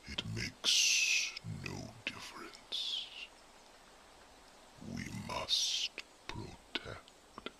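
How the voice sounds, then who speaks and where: Man doing a solemn male fantasy sage, ASMR roleplay, hushed whisper, very slow, sharp edgy tone. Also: heavily acted. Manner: slow, grave, whispered and reflective.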